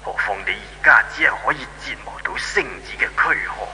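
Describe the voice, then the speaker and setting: Aged deity, Hong Kong Cantonese, solemn sermonising, piercingly bright, long reverb on hissy old radio recording. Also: male voice, mid-range voice, lively intonation, brisk. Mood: stern admonishing. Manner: preachy, solemn, commanding. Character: stern, benevolent old male deity with magic powers. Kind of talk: 1970s Cantonese radio drama acting.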